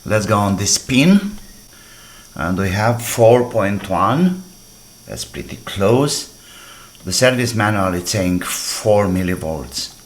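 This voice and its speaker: Slow-paced, low-voiced man demonstrating a repair. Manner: halting, short phrases, thinking aloud. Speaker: DIY electronics repair presenter. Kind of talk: hands-on repair demonstration, talking while working.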